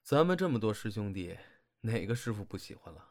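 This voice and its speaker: A man playing a steady, teasing and protective young stage partner in an audio drama, talking casually. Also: lowish voice, brisk. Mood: reflective. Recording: guitar music behind the voice. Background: guitar music.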